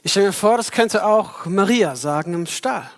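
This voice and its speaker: A man's voice: sits high, lively intonation, moderate pace. A man preaching a Christmas sermon in a reflective way, speaking directly to his listeners. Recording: long hall reverb.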